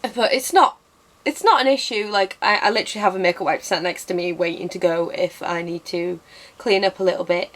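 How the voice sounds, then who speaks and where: Slightly croaky chatty young Englishwoman. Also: on the low side, brisk, fairly bright tone. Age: young adult. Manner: chatty and self-mocking, lots of ums and restarts. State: slightly hoarse, losing her voice. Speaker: beauty vlogger. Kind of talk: casual makeup tutorial chat. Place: bedroom with bookshelves, indoors.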